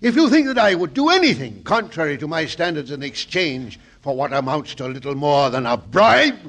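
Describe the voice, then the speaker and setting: An actor playing a pompous, sly, money-hungry veterinarian in 1940s radio comedy, speaking in a deliberate, grandiloquent way. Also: male voice, on the high side, sing-song, fairly bright tone. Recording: old 1940s radio broadcast.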